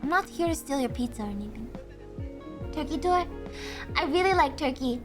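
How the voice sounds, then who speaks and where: A woman streamer chatting casually and thinking aloud, excitable, with frequent gasps and laughs. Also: high-pitched, a little fast, over music. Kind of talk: casual livestream chat.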